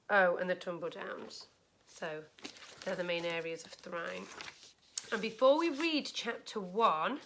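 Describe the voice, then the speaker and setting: A woman teacher reading a storybook aloud to a class of children, expressively and with deliberate, explanatory care. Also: medium pitch, a bit bright. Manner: clear, deliberate explaining to young listeners.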